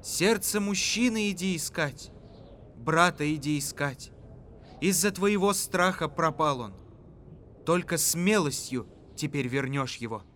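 Male narrator playing a solemn, grieving mother; slow, hushed. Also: fairly high pitch, expressive, slight background noise, pitched above the performer's own voice. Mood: sorrowful. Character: grieving stern old mother.

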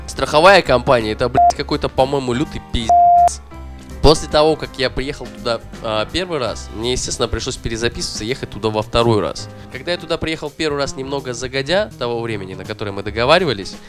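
A man's voice: medium pitch, fast, over music. A man on a podcast who tells a story casually and swears often, worked up and ranting. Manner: profane, animated ranting. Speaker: podcast co-host.

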